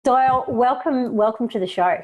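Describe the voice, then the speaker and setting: A woman's voice: a little high, moderate pace, narrow, tinny audio. A woman hosting an interview podcast, presenting and putting questions to a guest. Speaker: podcast host. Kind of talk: scripted introduction and interview questions.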